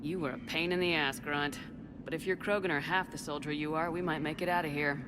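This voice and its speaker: A woman's voice: sits low, steady tone, a little fast, noisy background, natural voice. A woman playing a resolute military commander in a video game, firm and matter-of-fact. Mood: wry. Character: resolute female human military commander, tough and steady. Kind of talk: video game cutscene acting.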